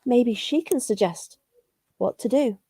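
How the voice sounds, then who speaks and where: Woman as friendly male caterpillar, children's storybook, high pitch, sing-song, welcoming. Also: unhurried, warm tone, slightly rough, dry recording. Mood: inviting.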